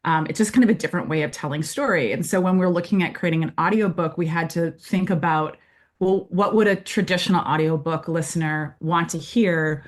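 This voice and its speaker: A chatty woman speaking as a guest in a casual interview. Her voice sits a little low, and she talks loosely with many fillers, breaking into quoted lines now and then. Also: a little fast. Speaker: co-writer, guest.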